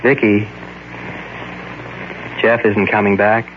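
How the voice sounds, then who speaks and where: A man's voice: fairly low pitch, level tone, slow, a little hiss or hum. An actor playing an obsessive, guilt-ridden murderer who tells his story in a dramatic 1940s radio thriller style. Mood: coaxing. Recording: muffled old radio recording, loud music.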